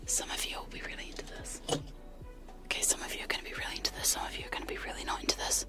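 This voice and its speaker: A woman's voice: rapid-fire, over loud music. A young woman whispering close to sensitive microphones in a casual, giggly ASMR chat with trigger sounds, often poking fun at herself. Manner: soft whisper, giggly and self-deprecating. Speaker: ASMR streamer.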